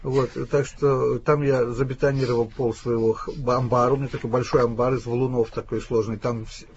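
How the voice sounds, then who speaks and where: A man guest in a radio interview, explaining his views in a measured way with frequent restarts, from Russia. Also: mid-range voice, level tone, darkish tone, slightly rough. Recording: dull lo-fi radio recording.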